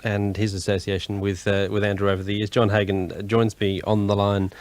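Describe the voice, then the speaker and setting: Man, Australian accent, low pitch, radio presenter, hesitant conversational delivery, dry studio sound. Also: flat delivery, brisk, darkish tone, dry recording. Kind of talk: live radio interviewing.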